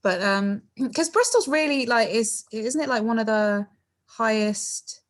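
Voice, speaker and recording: A woman's voice: fairly high pitch, animated, measured pace. A middle-aged woman, a singer chatting as a podcast guest, laughing often and padding her talk with fillers and tag questions. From England. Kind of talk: casual interview chat.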